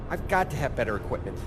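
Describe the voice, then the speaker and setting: A man's voice: mid-range voice, heavy background noise. An actor playing a nervy, exasperated male scientist, wry and rambling, in a sci-fi game cinematic.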